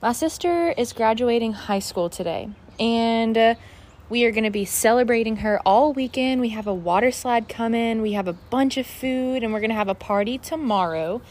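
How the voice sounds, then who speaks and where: A woman's voice: fairly high pitch, normal speed, a little mellow. Chatty young woman with a lilt. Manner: chatty and candid, many fillers like "like" and "uh". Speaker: podcast host. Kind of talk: casual solo podcast chat. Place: outdoors in her backyard.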